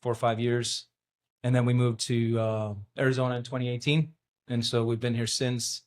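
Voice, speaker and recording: A man in his forties from Norway, a youth soccer coach and native Norwegian speaker, calmly telling his story with frequent ums. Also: mid-range voice, normal speed. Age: 40s. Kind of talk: casual interview answers.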